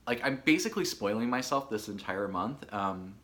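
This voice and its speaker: Chatty hoarse man in his thirties. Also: on the low side, moderate pace. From Michigan, USA. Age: 30s. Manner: chatty, animated, lots of filler words. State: hoarse from allergies. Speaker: podcast host. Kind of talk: casual solo chat.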